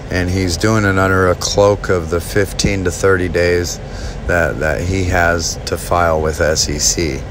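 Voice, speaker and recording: A man talking casually to his viewers about a stock chart, halting with many ums and restarts. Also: low-pitched, noisy background. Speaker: stock market video host. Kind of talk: casual talk over a chart screen share.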